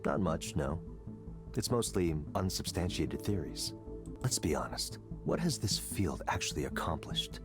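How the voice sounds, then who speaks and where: A man playing a confident, coldly intellectual tech executive in a visual novel, lecturing in a deliberate, persuasive way. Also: low-pitched, very animated, over music. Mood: smug, dismissive.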